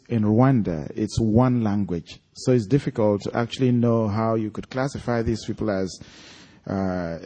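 A man's voice: lowish voice, dark tone, poor audio. A man with a Nigerian accent, an author speaking and reading aloud to an audience at a live event. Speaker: author reading from his own story at an event.

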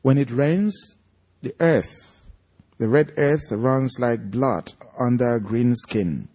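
A man's voice: medium pitch, warm tone. A man with a Nigerian accent, an author speaking and reading aloud to an audience at a live event. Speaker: author reading from his own story at an event.

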